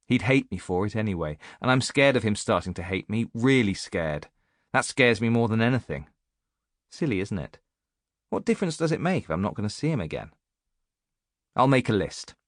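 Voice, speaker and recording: A man's voice: fairly low pitch, no room sound, studio quality, close to an everyday voice. A man reading an audiobook as a reflective, self-mocking woman in her late thirties, musing and confiding as in a letter. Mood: anxious resolve. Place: dry recording studio.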